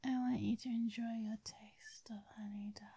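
A woman with an English accent speaking in a breathy whisper, sultry and teasing, in an intimate ASMR roleplay style. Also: fairly high pitch, flat delivery, normal speed, warm tone, dry recording.

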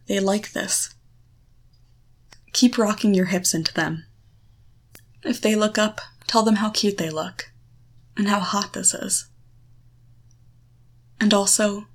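A woman guiding the listener through a visualization, coaching in short, deliberate phrases with a reassuring, instructive manner. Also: medium pitch. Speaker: guide leading a guided erotic confidence exercise. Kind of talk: guided visualization, second-person coaching.

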